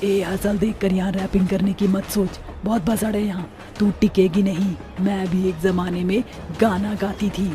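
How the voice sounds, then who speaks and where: A woman's voice: mid-range voice, level tone, normal speed, hardly any pauses, warm tone, soft background music, lightly acted. A woman playing a bossy female witch ghost in a Hindi-style cartoon story, with a brash, scolding manner. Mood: scornful. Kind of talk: animated cartoon story acting.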